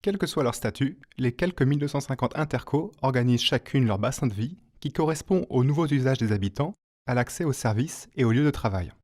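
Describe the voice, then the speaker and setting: A man reading a scripted informational narration as an explainer, in a clear, didactic manner. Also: medium pitch, animated, brisk, darkish tone.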